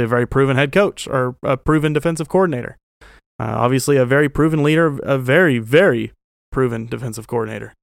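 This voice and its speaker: A man's voice: mid-range voice, vocal fry, no room sound, clean studio sound. A man in his twenties giving an opinionated, informal football commentary as a show host, blunt and emphatic. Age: 20s. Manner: emphatic, blunt, informal with mild slang swearing. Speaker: sports fan show host.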